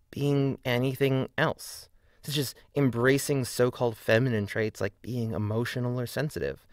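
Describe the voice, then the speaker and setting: A man reading scripted video essay narration in an earnest, measured way with deliberate emphasis. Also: medium pitch, dry recording, studio quality.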